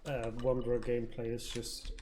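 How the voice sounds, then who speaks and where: A man's voice: mid-range voice, completely flat delivery, moderate pace, darkish tone. Man, game streamer, casual commentary, muttering to himself, faint noise, keyboard clicks. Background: keyboard typing and clicks.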